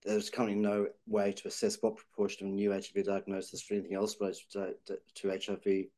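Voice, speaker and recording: Low, flat-voiced man. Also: quick pace. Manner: thoughtful, hedging with fillers and restarts. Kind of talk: policy discussion.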